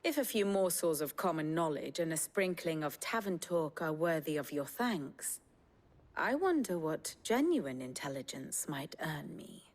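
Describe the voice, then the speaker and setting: A haughty lady scholar of a fantasy game, with a low voice. She is arch and wry, picking elaborate phrases with sharp wit. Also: very animated, measured pace, exaggerated voice. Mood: dry, arch. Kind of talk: video game voice acting.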